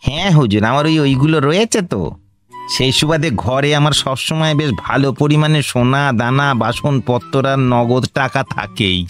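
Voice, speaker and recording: An actor playing a meek, middle-aged grain trader and moneylender in a Bengali radio play, deferential and hesitant, with a West Bengal accent. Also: male voice, mid-range voice, normal speed, soft background music, lightly acted. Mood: pleading, deferential.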